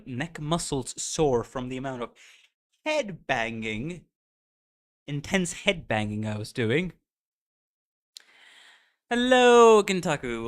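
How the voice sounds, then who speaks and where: A male streamer with a light Indian accent mixed with American and British tones, chatting casually and excitedly with his viewers. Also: a little high. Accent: Indian, blended with American and British. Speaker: streamer reacting to game music. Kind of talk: casual live-stream chat.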